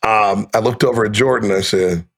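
A man's voice: lowish voice, brisk, creaky, fairly bright tone. A man in his fifties, a guest on a podcast, talking in a laid-back, storytelling way and laughing easily. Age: 50s. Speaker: guest, radio play-by-play announcer. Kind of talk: casual podcast conversation.

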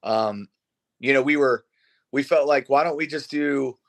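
A man's voice: mid-range voice, a little slow, a bit bright. A man around forty who sings in a rock band, chatting casually and informally as an interview guest. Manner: informal, swearing. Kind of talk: casual chat.

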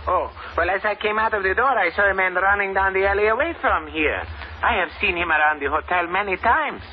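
Man playing an eager, high-pitched foreign kitchen worker. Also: fairly quick, lightly acted. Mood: earnest, puzzled. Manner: deferential, eager, literal-minded. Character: earnest, naive foreign hotel kitchen worker, male. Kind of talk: 1950s radio drama acting. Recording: muffled old radio recording, faint noise.

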